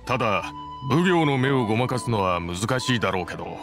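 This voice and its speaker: A man's voice: on the low side, lively intonation, brisk, music in the background. A man voicing a loyal young boatbuilder in an anime game, speaking in a casual, blunt masculine way. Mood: wary, matter-of-fact. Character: young boatbuilder, wary but loyal, easygoing friend.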